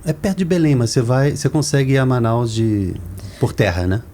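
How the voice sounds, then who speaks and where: A man's voice: mid-range voice, animated, brisk, warm tone. A Brazilian man hosting a podcast in casual, conversational interview style. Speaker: podcast host. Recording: clear background noise, room ambience.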